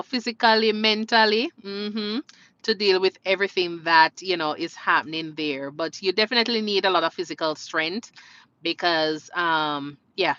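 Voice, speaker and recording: A woman's voice: mid-range voice, pushed and bright, dry recording, muffled recording. A woman with a Jamaican accent hosting a casual live question-and-answer stream, chatting conversationally and addressing viewers directly. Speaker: live stream host, nurse.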